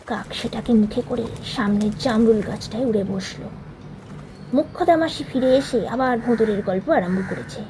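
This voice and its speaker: A woman's voice: on the high side, noisy background, voice pushed down, natural voice. A woman voicing a boastful teenage boy who leads his gang, spinning a tall tale in a teasing tone. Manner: bragging, teasing storyteller tone. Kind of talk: storybook reading of a figure's tall tale.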